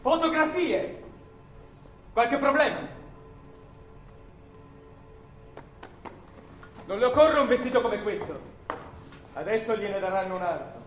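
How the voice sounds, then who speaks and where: Radio play officer: bossy, curt enforcer, very high-pitched, clipped orders, roomy echo, some music behind. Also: male voice, moderate pace, fairly bright tone. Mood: barking, commanding. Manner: clipped, commanding. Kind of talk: theatrical radio drama acting. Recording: echoey room, faint music behind.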